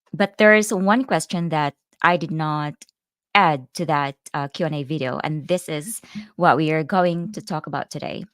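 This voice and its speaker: This is a woman with a Filipino accent who is hosting a casual livestream. She talks straight to her viewers and stops now and then to greet the live chat. Her voice sits on the low side and sounds smooth, and the recording is dry and close. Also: no room sound. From Philippines. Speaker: host of a livestream.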